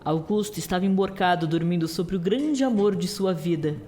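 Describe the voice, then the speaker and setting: A woman's voice: lowish voice, moderate pace, mellow, slight background noise. A woman narrator telling a scripted story in an audio drama.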